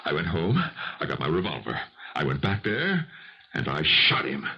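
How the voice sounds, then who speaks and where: An actor playing a ruthless, guilt-ridden businessman in a 1970s radio drama, agitated and melodramatic, with a husky voice. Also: extremely low pitch, huge pitch swings, moderate pace, heavily acted. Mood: haunted, confessional. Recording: muffled vintage 1970s radio recording.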